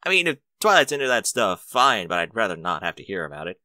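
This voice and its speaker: A man performs a young dragon from a storybook, male and eager to please. His delivery is lively and swinging in pitch, with a bright edge and an awkward, bashful manner, recorded dry and close. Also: fast talker, dry recording, full character voice. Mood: awkward, evasive.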